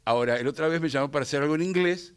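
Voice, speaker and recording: A man's voice: a little high, rapid-fire, clear, resonant tone. Reflective French-born man, Buenos Aires Spanish. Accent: Rioplatense Spanish. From France, raised in Argentina. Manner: reflective, rambling with many fillers. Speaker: actor, interview guest. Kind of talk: casual radio interview.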